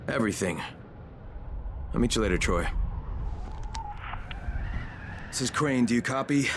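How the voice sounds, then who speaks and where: An actor with an American accent playing a gruff, hot-headed game hero, talking bluntly and casually with plenty of swearing. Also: male voice, medium pitch, animated, a little fast, noisy background, lightly acted. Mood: urgent. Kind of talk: video game cutscene acting. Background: music.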